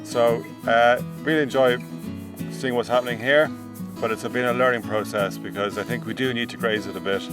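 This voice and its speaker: A man in his forties with an Irish accent, a farmer talking to camera outdoors in a relaxed, plain-spoken way with frequent pauses. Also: mid-range voice, music in the background. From Ireland. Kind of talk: documentary talk to camera. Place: outdoors on a farm.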